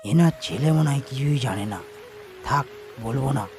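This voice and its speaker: Cartoon tea-stall uncle, played by a male narrator: older villager, gentle, hesitant, avuncular, music in background. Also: medium pitch, normal speed, close to an everyday voice. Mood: hesitant, pitying. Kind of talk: cartoon story acting.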